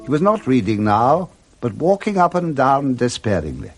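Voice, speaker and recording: A man reads scripted literary narration in the style of a 1960s radio drama. His voice sits at a middle pitch but moves very widely. The delivery is theatrical and expressive. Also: normal speed, faint music behind.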